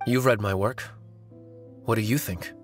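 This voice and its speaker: A man's voice: on the low side, soft background music, natural voice. Man playing an eloquent, brooding, vengeful novelist. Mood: coy. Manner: measured, theatrical, literary. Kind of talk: video game voice acting.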